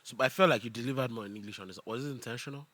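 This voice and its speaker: A man with a Nigerian accent hosting a podcast in casual, expressive banter. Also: mid-range voice, very fast, no echo. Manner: expressive, slips between English and Yoruba. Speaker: podcast host.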